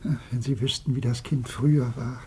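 An actor playing a careworn, tender old father in a radio drama, halting, pleading and apologetic, with a husky, creaky voice. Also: medium pitch, fairly even intonation, a little mellow, a little hiss or hum, natural voice. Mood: wistful, sorrowful. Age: older.

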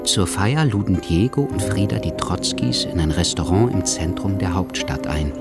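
A man's voice: low-pitched, lively intonation, moderate pace, over loud music. A man reading scripted literary narration for a German radio drama. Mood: measured narration. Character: literary narrator of an exiled revolutionary's life.

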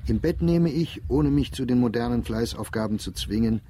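A man reading diary entries aloud for a radio drama in a measured, reflective, understated way. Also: mid-range voice, expressive, dark tone, a little hiss or hum.

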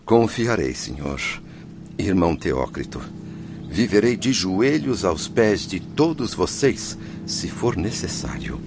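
Radio drama: anguished Brazilian spirit, stubborn father, pleading, low register, highly animated pitch. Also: background noise, full character voice. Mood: sorrowful, insistent. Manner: pleading, emotional, drawn-out delivery. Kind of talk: melodramatic radio drama acting.

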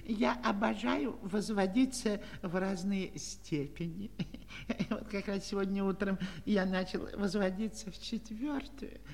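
An actor playing an excitable, courteous elder numeral with a fussy, effusive manner in a Soviet children's audio play. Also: male voice, high-pitched, unhurried, vocal fry. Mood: admiring, coy. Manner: effusive, eager, repeats words nervously. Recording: 1960s studio recording, long reverb.

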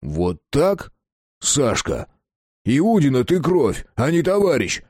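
A gruff village man in a storybook reading, done by a man, speaking in a low, mellow voice close and dry. He sounds curt and grim. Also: very animated, takes it slowly, no echo, exaggerated voice. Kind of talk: audiobook character voice.

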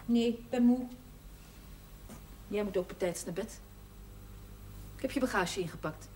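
An actress playing a self-sacrificing priest's housekeeper in a television drama, earnest, plain-spoken and resigned. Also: female voice, medium pitch, measured pace, a little mellow, natural voice. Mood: weary, curt. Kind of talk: naturalistic television drama acting. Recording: noisy old television soundtrack.

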